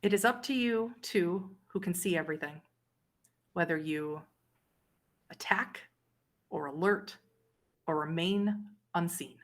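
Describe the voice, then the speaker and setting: A woman with a slightly low voice acts as game master for a horror roleplay. She sets scenes deliberately, with dramatic pauses and careful emphasis. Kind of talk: narrating a streamed horror tabletop roleplay.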